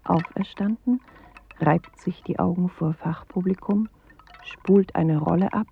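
A weary, wry woman recites bleak radio poetry very huskily. Her low voice is slow and intimate, as if confiding. The sound is narrow and muffled. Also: full character voice. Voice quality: husky, gravelly. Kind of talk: poetic radio drama monologue. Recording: muffled, phone-like 1980s radio recording.

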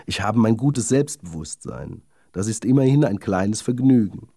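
A man's voice: on the low side, animated, moderate pace, creaky, mellow, breathy edge, dry recording, studio quality, exaggerated voice. A man voicing a vain, haughty young lady darning needle in a children's fairy tale, proud and genteel in manner. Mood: smug. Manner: proud, self-satisfied, genteel.

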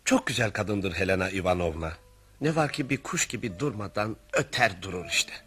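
An actor playing a polite, uneasy family friend who also narrates a radio comedy. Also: male voice, lowish voice, animated, normal speed, fairly bright tone. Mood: reflective narrating. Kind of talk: radio theatre dialogue and narration.

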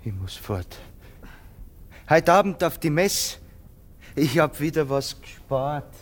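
A man's voice: a little high, big pitch swings, slow pace, slight background noise. An actor playing a distraught, brooding poor man in a radio play, speaking in fragmented phrases. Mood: haunted, brooding. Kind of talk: theatrical radio drama.

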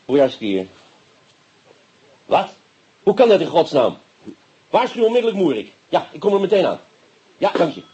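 An actor playing a sceptical, level-headed police inspector in a Dutch radio play, with dry, ironic reasoning. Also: male voice, a little high, very animated, moderate pace, pauses often, dark tone, slightly rough, muffled recording, full character voice. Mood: alarmed.

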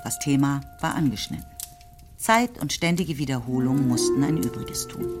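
A woman narrating a literary radio play, telling the story in a measured, scripted way. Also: very deep voice, lively intonation. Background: instrumental music.

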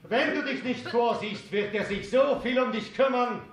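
An actor playing a sensible stage player in a radio drama, speaking emphatically and theatrically. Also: male voice, very high voice, moderate pace. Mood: reasoning, teasing. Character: sensible male stage player, reasoning and anxious to keep order. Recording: very echoey stage, faint noise.

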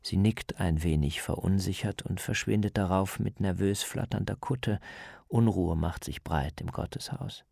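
A man's voice: sits low, normal speed, vocal fry, a little mellow, no room sound, studio quality. A man reporting and narrating a scripted radio documentary in the first person.

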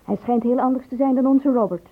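An inquisitive, starry-eyed secretary in an old radio play. Her voice sits fairly high with a husky grain, and the recording is thin and tinny. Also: fairly quick. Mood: curious, wistful. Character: curious female police office secretary, starry-eyed, chatty. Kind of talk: radio drama acting.

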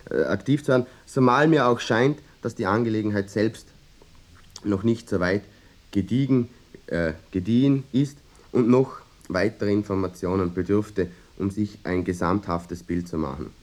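An actor playing an earnest, obsessive amateur clarinetist in a mock-documentary radio play, rambling and interrupting himself in a Vorarlberg Austrian accent. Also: male voice, on the low side, a little mellow, lightly acted. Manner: rambling, halting, self-interrupting, fussy. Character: earnest, obsessive amateur clarinetist hunting a vanished brass band.

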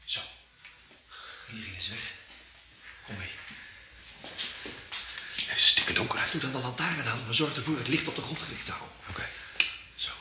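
A man acting in an old radio play, talking quietly and half-whispering while he searches in the dark. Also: medium pitch, lively intonation, normal speed, long pauses, heavy background noise. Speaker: radio drama actor.

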